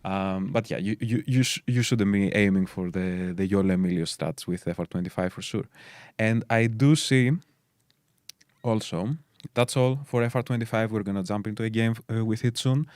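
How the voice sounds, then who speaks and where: A man's voice: lowish voice, normal speed, darkish tone, dry recording. A man from Greece with a Greek accent, co-hosting a game stream and chatting casually with lots of fillers as he explains game details. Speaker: stream co-host.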